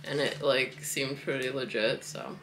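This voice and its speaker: A woman's voice: a bass voice, fairly even intonation, unhurried, background noise. A woman in her thirties chatting casually through a grocery haul in her home kitchen, with lots of fillers. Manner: chatty, loose, many fillers and likes. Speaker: wellness vlogger. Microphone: camera on the counter, moving in and out of frame.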